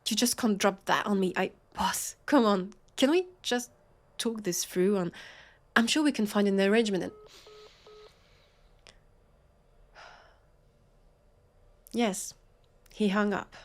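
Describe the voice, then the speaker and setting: A woman with a French accent playing a weary, sardonic vampire police officer in an audio roleplay, halting and exasperated. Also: mid-range voice, lightly acted. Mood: angry, protesting. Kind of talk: scripted audio roleplay acting.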